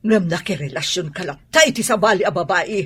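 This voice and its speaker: An actress playing a fed-up, resolute wronged wife in a radio drama, scolding and forceful. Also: female voice, lowish voice, expressive, a little fast, breathy edge. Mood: angry, scornful. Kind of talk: Ilocano radio drama acting.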